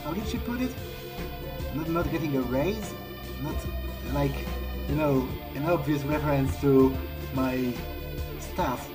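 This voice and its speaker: A man with a slow delivery roleplays his game character. He sounds casual and hesitant, as if thinking aloud, with faint music behind him. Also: fairly high pitch. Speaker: gameplay video creator. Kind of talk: in-character roleplay playthrough commentary.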